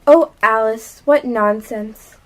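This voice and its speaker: A woman's voice: fairly high pitch, takes it slowly, noisy background. A young female performer playing a dismissive older sister absorbed in her book, in an amateur radio play.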